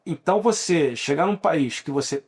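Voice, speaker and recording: A man's voice: a little high, lively intonation, normal speed, breathy edge. A Brazilian man in his forties, a lawyer guest on a podcast, speaking with a Brazilian Portuguese accent and explaining at length with frequent restarts. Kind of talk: podcast interview.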